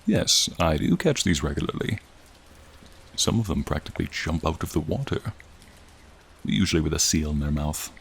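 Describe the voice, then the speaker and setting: A man's voice: normal speed, pauses often, a little hiss or hum, exaggerated voice. A man voicing a friendly, charming frost dragon in a soothing, courtly, admiring manner, in an ASMR roleplay with rain behind him. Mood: friendly, hospitable. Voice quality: low, gravelly, soothing. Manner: courtly, leisurely, warmly attentive. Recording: steady rain sounds behind the voice. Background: rain falling.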